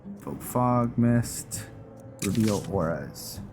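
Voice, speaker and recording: A man hosting a roleplay game playthrough, giving casual, low-key commentary as he thinks aloud. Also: slow pace. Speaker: gaming video host. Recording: loud game music behind the voice. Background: video game music.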